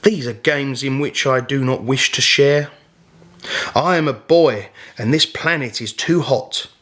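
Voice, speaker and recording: A man's voice: mid-range voice, unhurried, fairly bright tone. A man voicing a discontented young boy who complains in a sulky, dramatic way in a children's storybook. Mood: sulky, complaining.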